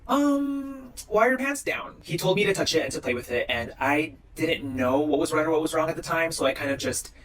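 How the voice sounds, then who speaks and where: A man's voice: on the high side, animated, brisk, few pauses, fairly bright tone, poor audio. Chatty young-sounding man from Indonesia. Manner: chatty, heavy use of "like". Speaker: social media creator. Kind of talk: casual talking-to-camera clips.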